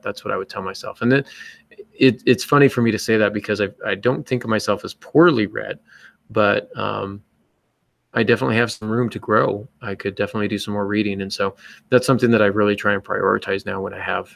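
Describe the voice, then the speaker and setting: A man's voice: fairly low pitch, vocal fry, a bit bright, no room sound. A man co-hosting a podcast, giving casual writing advice in a joking, self-mocking manner. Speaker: podcast co-host and novelist.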